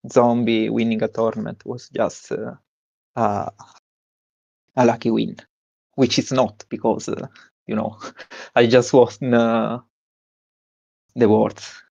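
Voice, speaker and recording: A young man with an Italian accent, a tournament winner giving a halting interview with many fillers and restarts. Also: on the low side, gravelly, a little mellow, dry recording, highs cut off. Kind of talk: post-win stream interview.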